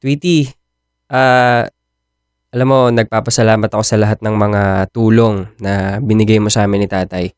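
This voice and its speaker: A man with a Filipino accent playing a young, aloof boyfriend in a radio drama, low-key and hesitant. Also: steady tone, moderate pace, smooth, dry recording, clean studio sound, close to an everyday voice. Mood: serious, hesitant. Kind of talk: Tagalog radio drama acting.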